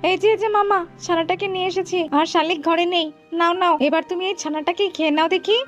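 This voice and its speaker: A woman voicing a cowardly, scheming young crow in a children's cartoon, excitable and wheedling. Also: very high voice, quick pace. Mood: eager. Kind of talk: children's animated folk-tale acting. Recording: dull lo-fi audio with music behind.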